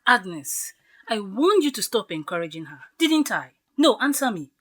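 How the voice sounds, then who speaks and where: A woman's voice: mid-range voice, very animated, moderate pace, fairly bright tone. A woman playing a bossy, blunt friend in an audio drama, nagging emphatically with exasperation. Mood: scolding, indignant. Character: Gladys, bossy, scolding, blunt female friend of the bride.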